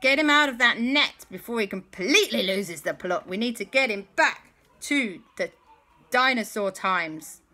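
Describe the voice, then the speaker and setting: Storybook time traveller, excitable and flustered, put on by a woman, raised and bright. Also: fairly high pitch, a little slow, pitched above the performer's own voice. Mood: relieved.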